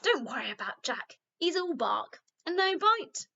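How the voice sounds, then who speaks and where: Woman reading as bright, chatty old lady, high-pitched. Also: sing-song, measured pace, vocal fry, highs cut off, full character voice. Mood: brisk welcome. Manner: chatty, merry, reassuring. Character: kindly, chatty old lady living with her dog.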